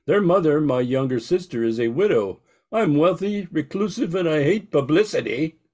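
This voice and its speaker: A wealthy, reclusive uncle played by a man in a radio drama. His voice sits a little high, with a genial, courteous air. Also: expressive, normal speed, a little mellow. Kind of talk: amateur radio play acting.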